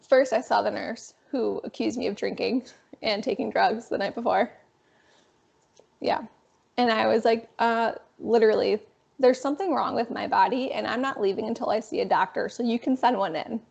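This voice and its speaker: Raspy young woman, gravelly. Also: a little high, normal speed. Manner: animated, frequent fillers. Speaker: guest. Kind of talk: casual interview storytelling. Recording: dull lo-fi sound.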